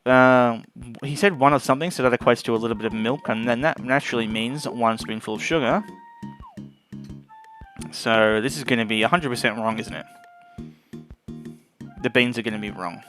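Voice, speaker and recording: A man's voice: medium pitch, moderate pace, pauses often, a bit bright. Man commentating a game over music. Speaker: gaming video host. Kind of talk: casual let's play commentary, reading game dialogue aloud.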